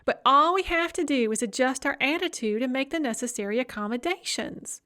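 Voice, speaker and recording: A cloying college administrator croons every sentence in a bright, high sing-song, as a woman narrator puts her on. Her sweetness is plainly fake and her tone talks down to everyone. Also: animated, brisk. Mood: sugary. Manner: sing-song, sugary, condescending.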